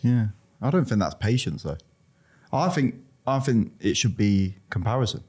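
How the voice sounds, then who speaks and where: A man with a fairly low voice in casual podcast chat. He reasons through his point in an earnest, gentle way. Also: animated, normal speed, husky.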